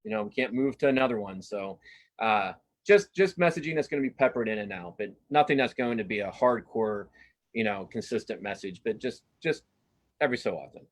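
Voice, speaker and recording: Halting, earnest middle-aged man. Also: on the low side, lively intonation. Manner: halting, self-interrupting, earnest. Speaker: cartoonist being interviewed. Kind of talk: casual live interview.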